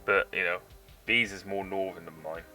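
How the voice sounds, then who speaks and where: A man's voice: deep voice, steady tone, normal speed, bright, forceful tone, soft background music. A man in his thirties with a mixed British Forces English accent, chatting casually and swearing freely on a live stream. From England. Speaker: streamer building model kits.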